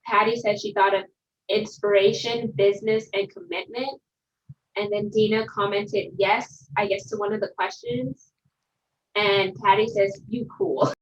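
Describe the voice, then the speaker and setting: A woman's voice: medium pitch, animated, measured pace, muffled recording. Upbeat woman on a video call. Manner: upbeat, encouraging, rousing. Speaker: motivational speaker. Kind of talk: motivational talk on a video call.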